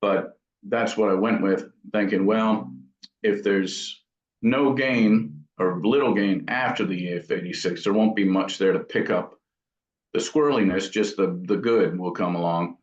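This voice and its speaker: A man's voice: on the low side, unhurried. A man being interviewed as an amp builder, talking casually in thoughtful, rambling explanations. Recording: dull, echoey room sound.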